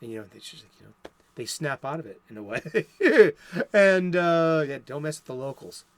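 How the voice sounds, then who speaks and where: A man's voice: on the high side, sing-song, normal speed, dry recording. A man with a Boston accent hosting a casual solo comic book talk show, rambling with many fillers and restarts. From Boston area, USA. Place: home studio.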